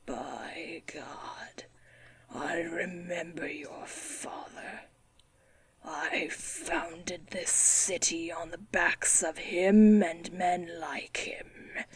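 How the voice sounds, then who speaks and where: A woman voicing a withered ancient male tycoon in a slow, breathy, contemptuous whisper. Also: lowish voice, exaggerated voice. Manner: contemptuous, sneering.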